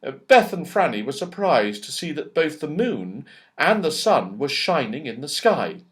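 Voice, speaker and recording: A man's voice: on the high side, sing-song, measured pace, fairly bright tone. A man narrating a children's story as an audiobook, expressively, with dramatic emphasis and storyteller's phrasing.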